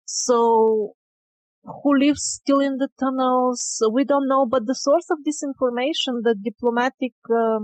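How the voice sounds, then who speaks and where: A woman with a fairly high voice talks as a guest in an interview. She goes very slowly and conversationally, feeling for her words. The recording is dry and close, with little room sound. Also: steady tone, a little mellow, dry recording, low-quality recording.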